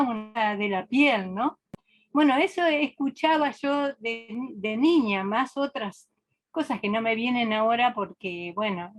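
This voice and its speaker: A woman, speaking thoughtfully in a seminar discussion and often restarting her sentences. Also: on the high side. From Argentina. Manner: reflective, hesitant, with frequent restarts. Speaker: seminar participant.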